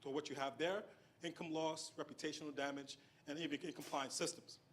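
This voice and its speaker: A man explains use cases in a startup pitch, laying them out point by point and checking in with the crowd. His pitch runs a little high, and faint ambience sits behind him. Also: fairly even intonation, a little fast, fairly bright tone, a little hiss or hum. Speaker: startup team member pitching. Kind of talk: presentation pitch.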